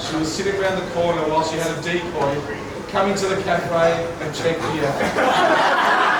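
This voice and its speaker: Groom, loud and sincere, light jokes; reception hall, distant mic, heavy echo. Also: male voice, high-pitched, heavy background noise. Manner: heartfelt, with a few light jokes. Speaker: groom giving wedding speech. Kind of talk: prepared wedding speech. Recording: echoey hall, voice from far off.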